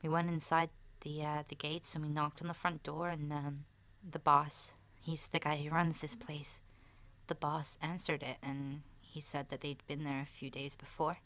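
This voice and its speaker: A woman voicing a chatty, candid young girl surviving a zombie apocalypse in a soft, breathy, half-whispered ASMR roleplay, talking in a hesitant, rambling way. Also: deep voice, little pitch movement, normal speed. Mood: grateful. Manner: hesitant, rambling, youthful casual phrasing.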